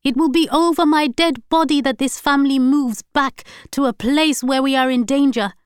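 A woman reading a story aloud, voicing a furious wife and mother who shouts and screams at her husband. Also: steady tone, normal speed, no echo, studio quality, close to an everyday voice. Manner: shrill, screaming.

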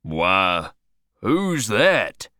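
A woman voicing a slow, puzzled old farmer man. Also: a bass voice, sing-song, bright, forceful tone, dry recording, clean studio sound, pitched below the performer's own voice, full character voice.

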